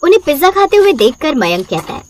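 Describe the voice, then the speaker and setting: A female narrator tells a scripted bedtime tale. Her voice sits high, and her intonation moves in lively, wide swings. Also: fast talker, slight background noise.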